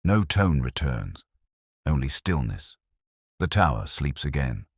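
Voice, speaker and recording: Grave philosophical detective played by a male narrator, very low, deliberate, expressive pitch, for mystery audiobook. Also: breathy edge, no room sound, clean studio sound, full character voice. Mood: grave, final. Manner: deliberate, aphoristic, solemn.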